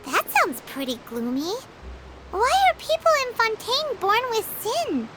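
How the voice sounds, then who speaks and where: A woman voicing a small, childlike, excitable fairy companion in a video game, with a squeaky, naive, inquisitive delivery. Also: measured pace, heavy background noise, heavily acted. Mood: puzzled. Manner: naive, inquisitive, refers to herself in third person. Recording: loud music under the voice.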